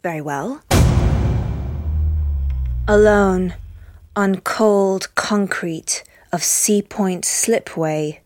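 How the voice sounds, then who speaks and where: A woman's voice: medium pitch, drawn-out words, pauses often, a little mellow, soft background music. A woman playing a disdainful, self-important academic poet in a radio drama. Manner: pompous, precise, disdainful. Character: pompous, snobbish female academic poet.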